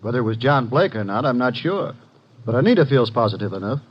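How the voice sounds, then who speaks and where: Earnest gentleman, very expressive pitch. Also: fast, husky. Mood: firm, skeptical. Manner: formal, earnest. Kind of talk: 1950s radio acting. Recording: muffled, hissy vintage radio recording.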